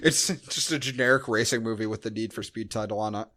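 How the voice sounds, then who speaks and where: A man's voice: fairly low pitch, steady tone, fast, pushed and bright. An American man co-hosting a podcast, talking casually about a film in a blunt, joking, sweary way. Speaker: podcast co-host. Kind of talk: casual film review chat.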